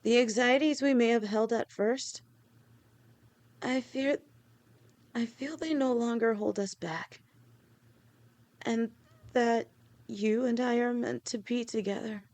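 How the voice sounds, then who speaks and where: A woman voicing a devoted young lamia woman with a snake tail, speaking softly to her partner in an audio roleplay, halting and sometimes stammering. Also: on the high side. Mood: heartfelt. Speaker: girlfriend in a listener roleplay.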